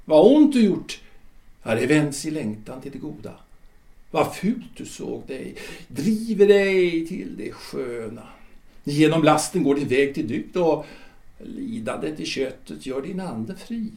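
An actor playing a solemn otherworldly guide of the dead, speaking slowly and questioning like a patient teacher. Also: male voice, fairly high pitch, big pitch swings, a little mellow. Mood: solemn, chanting. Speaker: guide of the afterlife. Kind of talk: audio drama acting. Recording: roomy reverberant room.

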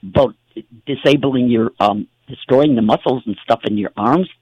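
A man's voice: medium pitch, moderate pace, no echo, highs cut off. A man hosting a talk show, telling stories in a rambling, casual way with many fillers and restarts. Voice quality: gravelly, husky.

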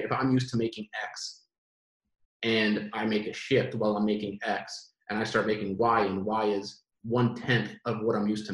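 Twenty-something guy rambling on a call, lots of "like" and restarts, echoey room. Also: mid-range voice. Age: 20s. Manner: rambling, animated, many restarts. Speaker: guest. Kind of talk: casual conversation.

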